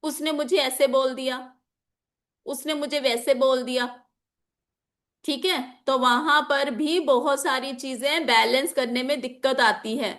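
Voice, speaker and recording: A woman's voice: sits high, steady tone, measured pace, fairly bright tone. A woman gives an informal lesson. Her throat is a little sore. She explains point by point, repeating key phrases for her students and dropping English terms into her sentences. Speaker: teacher of an online class on chakras and magical oils. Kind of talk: informal spoken lesson with examples.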